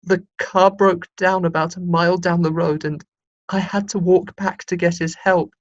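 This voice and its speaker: A woman's voice: on the low side, level tone, normal speed, lightly acted. A woman playing in an amateur radio comedy sketch, reading advice-column letters aloud earnestly and plainly. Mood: earnest, plaintive. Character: reluctant sound technician pressed into reading agony-aunt letters. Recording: dull, band-limited recording.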